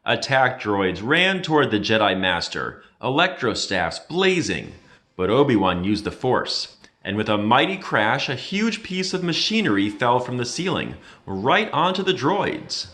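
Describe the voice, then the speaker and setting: Male read-aloud narrator, medium pitch, lively rising and falling delivery for kids. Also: a little slow. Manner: expressive, dramatic storytelling. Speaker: reader. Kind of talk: children's storybook read-aloud.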